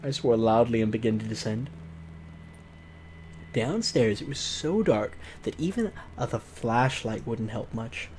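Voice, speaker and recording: A man hosts a game let's play, reading story text aloud in a theatrical way. He drops out of narration into joking, exclamatory asides. The home recording has clear background noise. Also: medium pitch, measured pace, darkish tone. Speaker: host reading a visual novel aloud.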